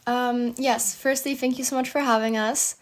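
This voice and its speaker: Young woman speaking in an even tone. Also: fairly high pitch, normal speed. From Germany. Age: 20s. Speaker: student guest. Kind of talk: interview answers.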